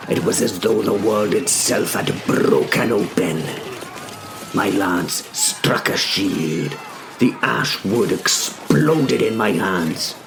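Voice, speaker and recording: Over clear background noise, a man plays a young medieval knight narrating his own tale in audio drama. The voice is very low and gravelly, solemn and measured, leaving deliberate pauses between phrases. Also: heavily acted. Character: young male knight William, reflective, brave yet frightened. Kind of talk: scripted first-person dramatic narration.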